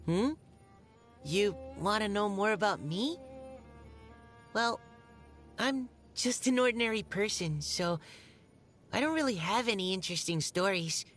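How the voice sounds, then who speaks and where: A young woman voicing a shy, gentle, earnest army scout in a video game, speaking politely and hesitantly. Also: medium pitch, animated, measured pace, long pauses. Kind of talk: video game character voice lines. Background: music.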